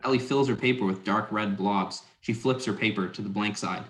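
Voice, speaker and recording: A man serves as narrator for a dramatic script reading. His voice is on the low side, delivering scripted stage directions. The sound is noticeably echoey. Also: fairly quick, a bit bright. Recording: roomy, echoing sound.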